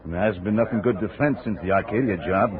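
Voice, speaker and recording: A man's voice: on the low side, fast, crowd noise, natural voice. An actor playing a down-on-his-luck private eye in a 1950s radio drama, chatty and full of street slang. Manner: chatty street slang, keeps saying "see". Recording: tinny old radio broadcast, faint hiss.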